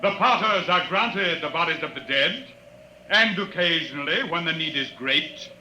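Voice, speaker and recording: An actor playing a proud, solemn alien mountain potter who speaks formally and ceremoniously, in old-time radio science fiction style. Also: male voice, fairly high pitch, expressive, normal speed, pushed and bright. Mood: solemn, unbending. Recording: noisy old radio drama recording.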